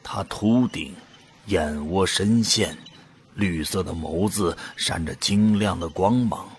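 A man's voice: deep voice, takes it slowly, a little hiss or hum. A man acting in an audio drama as an awed, unsure ordinary volunteer who narrates his own story. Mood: grave narration. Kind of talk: dramatic scripted narration and dialogue.

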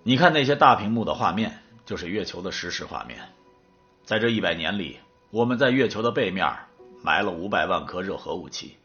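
A man's voice: deep voice, lively intonation, fairly quick, sharp tone, highs cut off, faint music behind. An actor playing a composed male official in an audio drama. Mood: serious explaining. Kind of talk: Chinese audio drama acting.

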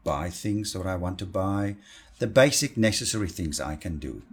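A man from South Africa whose first language is Afrikaans, speaking English with an Afrikaans accent as an interview guest. He talks earnestly and grows animated when he tells stories. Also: low voice, moderate pace, slightly rough. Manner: earnest and animated, rising when telling anecdotes. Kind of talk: casual interview chat.

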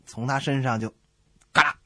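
A man co-hosting a radio comedy show, telling jokes in animated banter and repeating phrases for effect. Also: medium pitch, normal speed, dry recording, clean studio sound. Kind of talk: comic joke-telling banter.

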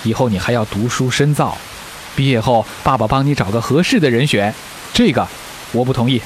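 A man's voice: mid-range voice, a little fast, lightly acted, low-quality recording. An actor in a radio drama playing a stern, protective middle-aged father, lecturing firmly. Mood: stern, disapproving. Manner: firm, lecturing. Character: stern, protective widowed father of a teenage girl. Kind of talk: Chinese radio drama acting. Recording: faint hiss, rain-like noise.

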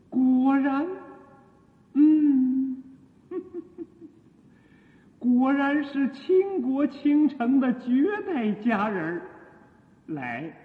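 An actor playing a lecherous, imperious emperor in a theatrical radio drama, with pompous, drawn-out courtly speech and a coaxing, self-satisfied air. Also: male voice, very high voice, exaggerated voice. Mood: delighted, leering. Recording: muffled phone-like audio, long echoing reverb.

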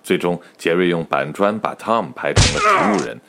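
Male actor as mock-serious storyteller: low voice, wry radio-drama narration, slight background noise. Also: very animated, normal speed, vocal fry, heavily acted. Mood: mock-grave.

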